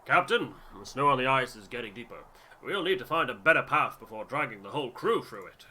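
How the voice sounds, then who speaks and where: A man's voice: medium pitch, expressive, a little fast, bright, forceful tone, a little hiss or hum. A man voicing an eager, proud polar expedition crewman with a hearty, formal manner, in a story game.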